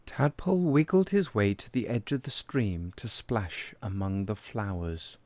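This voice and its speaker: A man reading a children's picture book aloud as an expressive, deliberate narrator. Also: lowish voice, no echo. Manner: animated, deliberate. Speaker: storybook reader.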